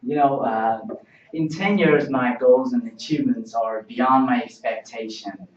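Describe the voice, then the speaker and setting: A man's voice: medium pitch, a little slow. Earnest young man, Uzbek native, roomy distant mic. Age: young adult. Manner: earnest, rehearsed, careful English with many fillers. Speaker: job interview candidate. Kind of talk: role-played mock job interview. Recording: distant, roomy, dull lo-fi sound.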